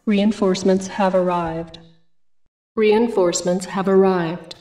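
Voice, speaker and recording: A female military computer from a strategy game, voiced by a woman, calm and impersonal. Her announcements are mellow and slow, sounding distant over music. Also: medium pitch, roomy sound, natural voice. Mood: calm, official.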